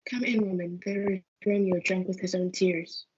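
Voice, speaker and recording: A firm, steadying friar read by a woman in a stage play. The delivery is even and mellow with a slightly husky edge, on a muffled recording. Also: mid-range voice, moderate pace, close to an everyday voice. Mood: admonishing. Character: Friar Lawrence, steadying male clergyman, firm counsellor. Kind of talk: student readers theatre performance.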